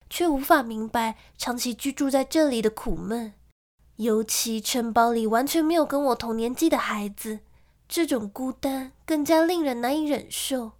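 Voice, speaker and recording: An actress playing a lonely young gentlewoman who tells of a frightening childhood in an emotive radio drama style. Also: high voice, normal speed, natural voice. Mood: melancholy. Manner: storytelling, emotive.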